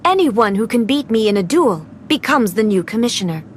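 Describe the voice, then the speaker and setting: Imperious, cold female ruler, higher voice. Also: very animated, slight background noise. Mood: cold, commanding. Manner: formal, measured, imperious. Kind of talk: dramatic video game voice acting.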